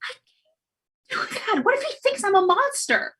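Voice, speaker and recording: Woman playing a high-pitched, anxious young co-op worker. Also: big pitch swings, unhurried, bright, forceful tone, heavily acted. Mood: panicky, defensive. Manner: stammering, overwrought. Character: anxious, guilt-ridden young co-op worker, earnest and dramatic. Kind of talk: comedy table read acting.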